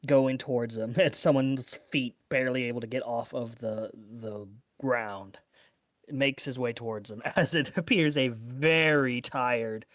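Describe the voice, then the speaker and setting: A man playing a tabletop game, narrating his character's actions in a drawn-out, amused way. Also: mid-range voice, no room sound, studio quality.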